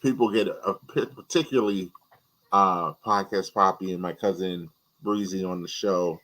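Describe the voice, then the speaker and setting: Low-voiced male podcast guest, animated. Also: moderate pace, low-quality recording. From New York. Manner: animated, repeats words, laughs along. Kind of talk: casual chat.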